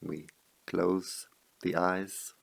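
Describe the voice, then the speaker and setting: Man, deep voice, creaky fry, guided meditation, calm measured delivery, short phrases, long pauses. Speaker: meditation teacher.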